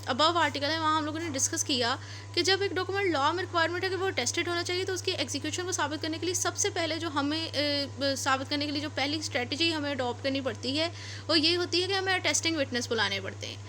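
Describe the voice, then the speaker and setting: High, bright-voiced Pakistani woman lecturing. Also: fast, a little hiss or hum. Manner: repeats and rephrases points step by step. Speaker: law lecturer. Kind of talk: explanatory lecture mixing read-out English legal text with Urdu explanation.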